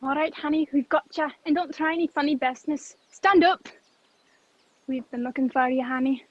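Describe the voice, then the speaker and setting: A woman's voice: high-pitched, moderate pace, natural voice. A woman playing a bossy, taunting, crooked policeman in an old-fashioned radio play, with muffled video-call sound.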